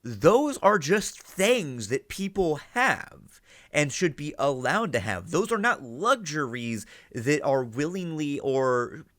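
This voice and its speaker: A man's voice: mid-range voice, fairly bright tone, dry recording. A man giving casual analytical commentary as a reaction host, thinking aloud and often checking with "right?". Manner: musing, thinking aloud, seeking agreement with "right?".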